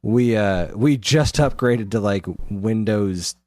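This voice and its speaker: A man's voice: lowish voice, slow, darkish tone, no room sound. A middle-aged man co-hosting a podcast in casual conversation, with a wry, joking way of talking. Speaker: podcast co-host. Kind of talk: casual chat.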